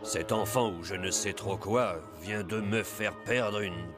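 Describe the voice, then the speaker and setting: An actor playing a greedy, domineering animal trader in a cartoon, with a commanding, pompous delivery. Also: male voice, fairly low pitch, measured pace, lightly acted. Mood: irritated, scornful. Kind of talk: dubbed cartoon acting. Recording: loud music behind the voice.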